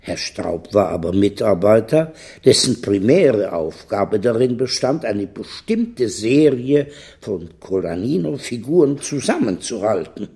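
A man playing a smooth, calmly menacing corporate lawyer in a radio crime drama, speaking formally and with measured eloquence. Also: fairly low pitch, full character voice. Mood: smooth, explanatory. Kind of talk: German radio play dialogue.

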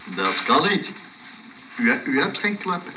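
An actor playing an earnest, pedantic engineer in a comic radio play, insistent but stammering and hesitant. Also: male voice, mid-range voice, very animated, measured pace. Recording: dull, faintly noisy radio recording.

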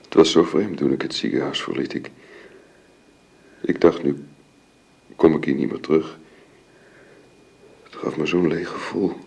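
An actor in a Dutch radio drama playing a gentle husband and father, speaking tenderly and haltingly in a hushed way. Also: extremely low pitch, steady tone, creaky, slightly rough, full character voice. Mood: grieving, exhausted. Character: grieving gentle husband and father at his wife's deathbed.

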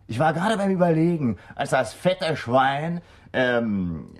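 An actor playing a defiant, sardonic militant suspect under police questioning in a radio drama, mocking and provocative. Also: male voice, on the high side, very animated, measured pace. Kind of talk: German radio drama acting.

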